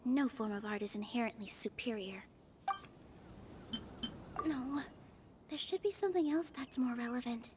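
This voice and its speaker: A woman voicing a gentle, sympathetic young theatre dancer in a video game, softly and earnestly consoling. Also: fairly high pitch, moderate pace, long pauses, noisy background, natural voice. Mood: thoughtful.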